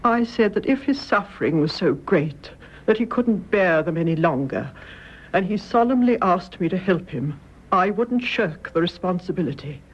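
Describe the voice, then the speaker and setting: An actress playing a grave, loving elderly British mother in a radio drama, speaking in a measured, gentle, solemn way. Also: fairly low pitch, lively intonation. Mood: solemn, confessing. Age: older. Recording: muffled radio recording, clear background noise.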